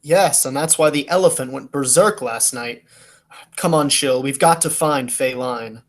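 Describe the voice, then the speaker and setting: An actor playing a serious, businesslike male police detective in a 1940s-style radio play, reasoning deliberately. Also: medium pitch, noisy background, close to an everyday voice. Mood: urgent.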